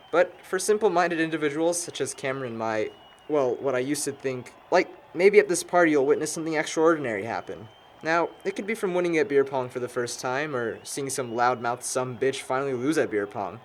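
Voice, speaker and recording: Young American male actor as a wordy, dryly sarcastic friend for audio drama, middle-pitched, faint noise on the track. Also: lightly acted. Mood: explaining. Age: young adult. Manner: long-winded, dryly sarcastic. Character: wordy, know-it-all young man correcting his friends. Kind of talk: conversational audio drama acting.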